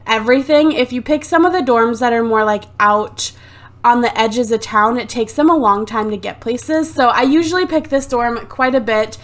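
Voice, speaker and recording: A woman's voice: on the high side, normal speed. A woman giving casual, rambling commentary over a life-sim game on a desktop USB mic. She is sick with a sore throat, sounds a little phlegmy, and fills her talk with "like" and "um". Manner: chatty and rambling, lots of "like" and "um". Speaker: let's play host. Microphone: desktop USB microphone.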